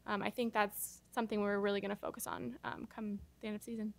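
A woman's voice: mid-range voice, flat delivery, fast, creaky. A young woman basketball player answering postgame questions at a press table, casual and hesitant with many fillers. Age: young adult. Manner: casual, hesitant with many ums and you knows. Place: press conference room. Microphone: table microphone.

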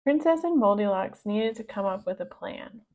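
A woman reading a children's storybook aloud in an expressive narrating style. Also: mid-range voice, normal speed, a little hiss or hum. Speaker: storybook reader.

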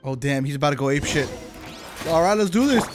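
A man streaming a game, reacting casually in slang with an excitable manner. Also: on the high side, fast. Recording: game music clearly behind voice.

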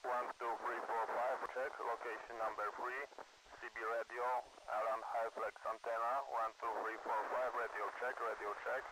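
A man's voice: medium pitch, level tone, normal speed, long pauses. A man making clipped, repetitive test calls, heard through a radio receiver. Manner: clipped, repetitive counting and call-out. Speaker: radio hobbyist testing equipment. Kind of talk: radio check test call. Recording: noisy radio reception with clicks.

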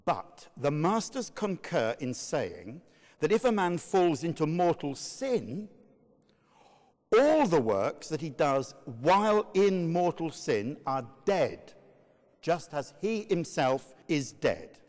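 Slow, oratorical man in a reverberant hall. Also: on the high side, big pitch swings, darkish tone. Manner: measured, emphatic, oratorical. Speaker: narrator, reader. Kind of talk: dramatic reading of a medieval sermon. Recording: long reverberant hall echo.

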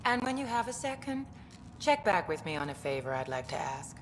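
A woman's voice: fairly low pitch, big pitch swings, fairly quick, long reverb tail, a little hiss or hum. A woman voicing a polite, welcoming alien woman who runs a cultural center in a video game.

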